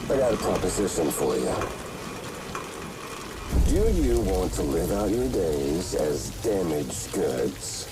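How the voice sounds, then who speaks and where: An actor playing a sadistic villain in a video game, taunting with a mocking, theatrical drawl. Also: male voice, low-pitched, big pitch swings, slow, noisy background, heavily acted. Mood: mocking, menacing. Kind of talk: dramatic video game cutscene acting.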